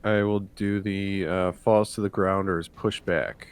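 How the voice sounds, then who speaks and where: A man's voice: low voice, fairly even intonation, unhurried, clear, resonant tone. A man playing a tabletop roleplaying game, hesitantly working out his move aloud. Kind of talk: casual game chat.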